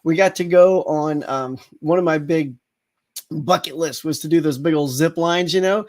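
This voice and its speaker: A middle-aged man hosts a relaxed live morning chat, his voice sitting a touch high. He sounds a little congested and rambles along, restarting sentences and tossing in plenty of fillers. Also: normal speed. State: slightly congested. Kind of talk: casual live-stream chat with viewers.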